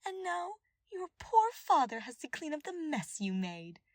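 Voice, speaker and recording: A woman's voice: high voice, big pitch swings, unhurried, no room sound, studio quality, pitched above the performer's own voice, heavily acted. Smug, mocking villainess, as a narrator voices her. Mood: gloating. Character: gloating, mocking female royal advisor villain.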